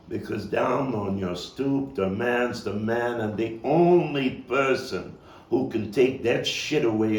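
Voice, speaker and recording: An actor playing a weary, foul-mouthed, combative neighborhood philosopher in a halting, emphatic stage monologue, his voice gravelly. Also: fairly low pitch, darkish tone. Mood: resigned, grave. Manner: rambling, profane, halting, emphatic street talk. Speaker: playwright and actor. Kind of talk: theatrical monologue at a table read. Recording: distant mic, roomy echo, faint noise.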